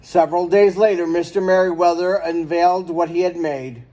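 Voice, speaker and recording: A man's voice: sits high, fairly even intonation, normal speed, dark tone. A man reading a children's picture book aloud as a storyteller.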